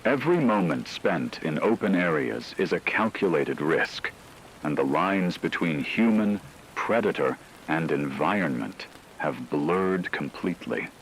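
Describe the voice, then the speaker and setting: A man reading a scripted emergency radio broadcast in a solemn, ominous, measured way. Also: deep voice, lively intonation, a little hiss or hum, poor audio. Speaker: radio announcer.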